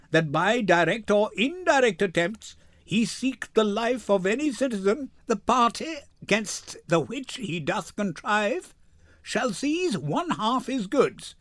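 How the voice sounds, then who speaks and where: Guy doing young woman posing as a stern judge, really high voice, slow declamatory shakespeare, swoops around. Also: no room sound. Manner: measured, declamatory, emphatic. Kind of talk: dramatic Shakespeare reading.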